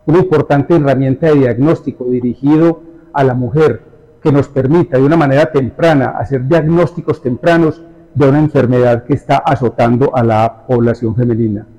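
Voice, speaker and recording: A man's voice: mid-range voice, fairly even intonation, a little fast, warm tone. A man giving a formal statement as a manager. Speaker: hospital manager. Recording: slightly dull lo-fi sound.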